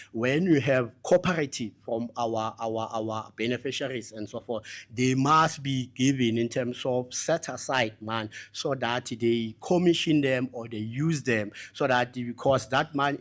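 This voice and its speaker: A man giving a spoken report at a conference, very hesitant, with many fillers and broken phrases. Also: mid-range voice. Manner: very halting, heavy hesitation, repeated verbal fillers. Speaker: summit delegate reporting back for a group.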